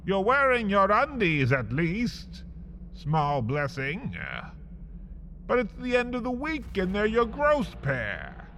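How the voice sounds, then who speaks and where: A man hosting and narrating a scripted comic horror piece, theatrical and drawn out, swinging from whispers to shouts. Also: high voice, wildly swooping pitch, slow pace, a little hiss or hum. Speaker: host and narrator.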